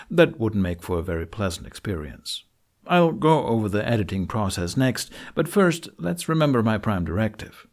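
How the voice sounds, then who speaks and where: A man narrating a how-to tutorial, explaining things step by step with deliberate phrasing and pauses. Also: on the low side, darkish tone. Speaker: tutorial host. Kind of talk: instructional narration for a how-to video.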